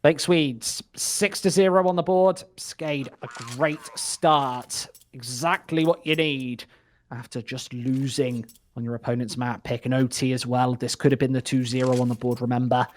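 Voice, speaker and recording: A man's voice: mid-range voice, fairly even intonation, normal speed. Give me a wry, joking male esports caster calling the action live. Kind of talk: live play-by-play commentary.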